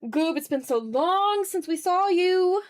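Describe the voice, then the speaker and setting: A woman's voice: very high-pitched, expressive, slow. A woman talking informally to viewers as she draws on a livestream, often breaking off to greet people in the chat. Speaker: host of an art livestream, video creator. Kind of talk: casual livestream chat with viewers while drawing. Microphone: streaming mic, newly placed a little far away.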